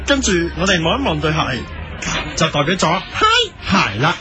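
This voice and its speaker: A man playing an excitable inventor in his eighties in a comic Cantonese radio drama, with a Hong Kong accent. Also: on the high side, moderate pace. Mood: proud, demonstrative. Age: 80s. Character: excitable 85-year-old Japanese inventor of super-fast shoes. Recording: music under the voice.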